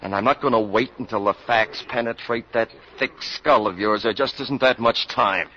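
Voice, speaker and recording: An actor playing a stubborn, exasperated doctor, impatient and argumentative, in 1950s radio drama style. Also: male voice, fairly low pitch, normal speed, bright, forceful tone. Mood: fed up. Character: exasperated, stubborn government doctor arguing for action. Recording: noisy, muffled old radio broadcast.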